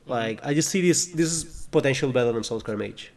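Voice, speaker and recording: A man's voice: medium pitch, fairly quick. A man co-hosting a podcast. He chats casually and fills his speech with "like" and "you know". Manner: chatty, with a steady stream of "like" and "you know". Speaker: podcast co-host. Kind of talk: casual chat.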